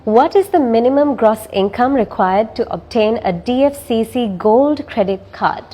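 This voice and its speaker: A woman's voice: on the high side, animated, normal speed, dark tone. A woman hosting an interview show, politely asking questions and reading out a contest. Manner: polite, scripted host sign-off. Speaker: show host.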